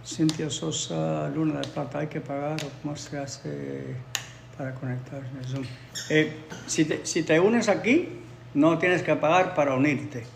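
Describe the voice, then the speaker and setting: A man's voice: a little high, moderate pace, vocal fry, background noise. A man whose first language is Spanish, teaching English and chatting casually with viewers on a livestream, often repeating his phrases for learners. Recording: roomy echo, faint ambience.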